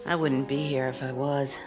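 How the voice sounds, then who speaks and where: Low-voiced, worldly barfly woman acted by a woman. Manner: teasing, probing, direct. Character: worldly, forward single woman at a bar. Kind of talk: 1950s radio drama acting.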